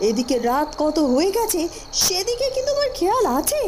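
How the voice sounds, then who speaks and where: A woman's voice: very high voice, very animated, brisk, noisy background. A woman voicing a scheming, impatient young noblewoman in a cartoon, with a fretful, dramatic delivery. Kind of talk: Bengali cartoon voice acting.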